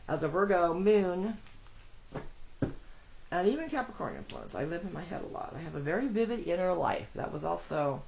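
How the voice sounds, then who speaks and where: A woman with a congested, rough voice gives a chatty tarot reading, talking to her cards and her viewers. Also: lowish voice. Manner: chatty, self-deprecating, talks to cards and viewers. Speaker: tarot reader. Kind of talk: casual solo tarot reading. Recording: dull lo-fi audio with faint noise.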